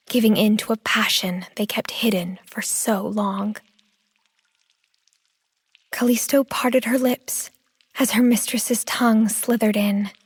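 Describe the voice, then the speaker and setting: A woman reads scripted fiction as a sensual storyteller, breathy and often close to a whisper. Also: mid-range voice, dry recording. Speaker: audio drama narrator.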